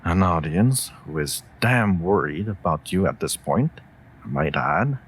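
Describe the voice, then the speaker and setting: A man's voice: sits low, lively intonation, measured pace, creaky, slightly rough, heavily acted. A man playing a devoted, worried wolf familiar in a comforting audio roleplay, speaking tenderly and formally to a mage. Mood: earnest, concerned. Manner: tender, earnest, formal phrasing.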